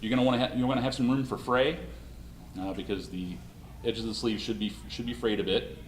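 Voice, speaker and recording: A man presenting a live costume-making demonstration at a convention panel, talking in a halting way with many restarts, his voice slightly hoarse. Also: medium pitch. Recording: echoey room, mic far off.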